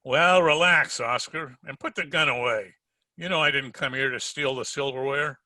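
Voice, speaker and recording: Man playing a bright-voiced hardboiled private eye. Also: mid-range voice, normal speed, no echo. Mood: wry, unflappable. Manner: wry, tough-talking, sardonic. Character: wisecracking hardboiled male private detective, unflappable. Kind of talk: old-time radio detective acting and narration. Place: home recording over video call.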